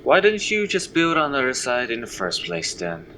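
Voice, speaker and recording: A man's voice: mid-range voice, huge pitch swings, normal speed, pushed and bright, a little hiss or hum. A man playing a questioning, complaining farm son in an audio drama.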